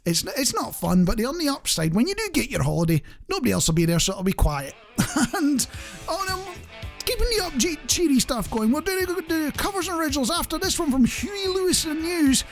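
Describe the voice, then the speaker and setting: British man, chatty, lively radio host. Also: very high voice, a little fast, soft background music. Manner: rambling, self-deprecating, conversational. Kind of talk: casual chatty radio presenting.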